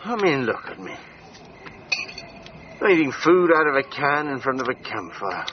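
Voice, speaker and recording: An actor playing a proud, moody gentleman adventurer in a radio drama. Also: medium pitch, big pitch swings, normal speed, close to an everyday voice. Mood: weary, despairing. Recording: dull sound with faint background noise.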